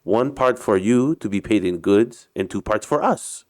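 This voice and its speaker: A man voicing a tall, bearded, haughty Russian sea captain with a Russian accent, speaking slowly and deliberately in a storybook reading. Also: on the low side, expressive, no echo, studio quality, pitched above the performer's own voice, natural voice. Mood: businesslike.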